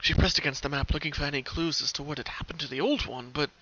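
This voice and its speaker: Bright, forceful man narrating a story. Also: fairly high pitch, fast talker. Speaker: fan fiction narrator. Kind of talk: dramatic scripted story narration. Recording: dull lo-fi home recording.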